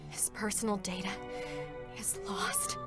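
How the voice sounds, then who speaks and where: A woman's voice: on the high side, huge pitch swings, unhurried. An actress playing a young, determined female android soldier, earnest and emotional, in a dramatic first-person reading. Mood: anguished. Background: music.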